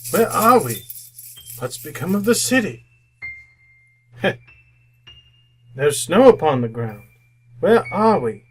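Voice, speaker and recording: Elderly miser, querulous and timid, raised pitch, slow halting speech that doubles back on itself, classic radio drama. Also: male voice, very animated, soft background music. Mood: wondering. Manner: hesitant, pleading, repeats himself. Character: frightened, querulous old male miser. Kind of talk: old-time radio drama acting.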